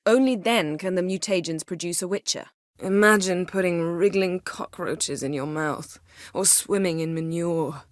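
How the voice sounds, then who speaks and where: Female actor as commanding, guarded fantasy-game sorceress: lower register, crisp sardonic bite, bone-dry studio sound. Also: moderate pace, dry recording, lightly acted. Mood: composed, dry. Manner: crisp, imperious, dryly sardonic. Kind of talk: fantasy video game voice acting.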